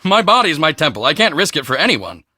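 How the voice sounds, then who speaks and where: A man voicing a cocky, boastful teenage high school football star in a video game, with a swaggering, emphatic, self-important delivery. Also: very animated, fast, sharp tone, no room sound, clean studio sound, full character voice. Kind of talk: video game character dialogue.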